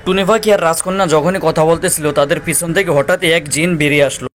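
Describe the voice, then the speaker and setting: A man narrates a children's cartoon tale from a script. His voice sits somewhat high and moves quickly, with faint noise underneath. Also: fairly bright tone.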